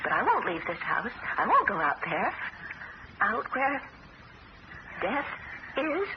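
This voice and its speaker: A frightened young woman who won't leave her house, her voice breathy and haunted, slipping between dreamy calm and dread. It sounds like a muffled old radio broadcast with heavy hiss. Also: on the high side, slow. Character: fragile, frightened young woman obsessed with death. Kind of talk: 1970s radio drama acting.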